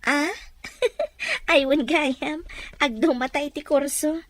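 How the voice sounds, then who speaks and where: A woman's voice: sits high, slow. A woman with an Ilocano Filipino accent voicing a sincere, dutiful young daughter and student in a radio drama. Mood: modest, friendly.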